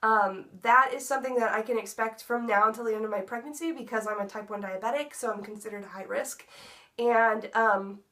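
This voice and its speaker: Young woman w a stuffy cold nose, kinda high voice, chatty vlog talk full of ums. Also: fairly even intonation, a little fast, pushed and bright. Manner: chatty, many ums and likes. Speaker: vlogger. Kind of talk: casual pregnancy update vlog.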